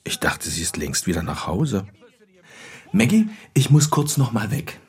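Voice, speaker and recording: An actor playing a good-natured, apologetic husband in naturalistic audio drama dialogue, with a husky, rough voice. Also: male voice, on the low side, huge pitch swings, measured pace, heavily acted. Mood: concerned.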